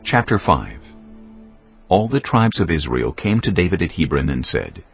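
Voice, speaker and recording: A man narrating scripture in a solemn, measured storytelling style, with a gravelly voice. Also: low voice, sing-song. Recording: dull, lo-fi audio. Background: faint music.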